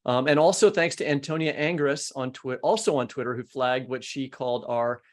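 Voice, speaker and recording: A man's voice: medium pitch, normal speed. An American man co-hosting a podcast, moving between scripted introductions and informal, joking conversation. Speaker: podcast co-host.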